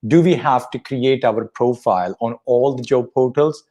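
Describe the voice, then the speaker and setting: A man's voice: mid-range voice, warm tone, highs cut off. A man, hosting a live career talk show from an office and mixing with English. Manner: rapid, switches between Urdu and English. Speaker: host. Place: office with big windows, indoors.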